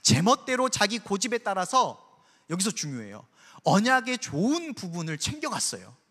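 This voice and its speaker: A man's voice: high-pitched, wildly swooping pitch, normal speed, fairly bright tone, breathy edge. A pastor from Korea's Jeolla region preaching a sermon with emphasis, putting rhetorical questions to his congregation. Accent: Seoul Korean, trying to hide his Jeolla dialect. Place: church sanctuary. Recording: long reverb of a church sanctuary.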